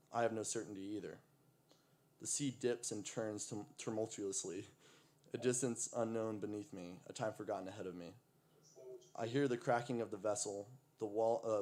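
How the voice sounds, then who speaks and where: A man's voice: fairly low pitch, a little mellow. An American man who grew up in Texas and East Africa, performing as an amateur poet with casual introductions at an open mic. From United States (Texas), later East Africa. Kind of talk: poetry recital with casual introductions. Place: live open mic event at a coffee venue.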